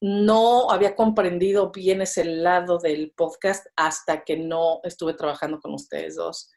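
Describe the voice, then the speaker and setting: A woman's voice: fairly low pitch, fairly even intonation, unhurried, vocal fry, darkish tone. A Mexican woman with a Mexican accent, talking casually as a podcast guest, full of fillers and tag questions. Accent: Mexican Spanish. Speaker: podcast guest, business owner. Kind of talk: casual interview chat.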